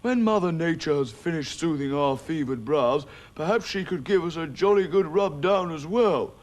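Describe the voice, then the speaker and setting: A man's voice: fairly high pitch, animated, normal speed, pitched above the performer's own voice, natural voice. A man voicing a sardonic, grumpy male church cat in a storybook, dry and sarcastic. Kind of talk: storybook character voice.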